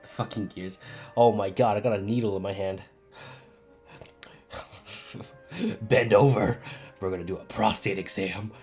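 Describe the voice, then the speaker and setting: Very low-voiced, excitable woman streamer. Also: a little slow, pauses often, soft background music. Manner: excitable, foul-mouthed, casual. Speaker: game streamer. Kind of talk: live stream reaction commentary.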